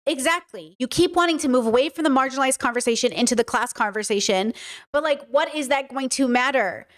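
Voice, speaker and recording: A woman's voice: sits high, brisk, fairly bright tone. A woman with a Californian accent hosting a commentary video, talking emphatically to her viewers and often repeating words as she thinks. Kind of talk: opinionated commentary monologue.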